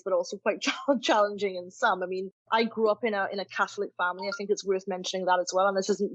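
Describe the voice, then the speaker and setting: A woman's voice: mid-range voice, dry recording. A woman from Trinidad, a guest telling her life story in a reflective way, often saying "you know" and restarting phrases. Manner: warm-hearted, frequent "you know" and restarts. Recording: dull, dry sound.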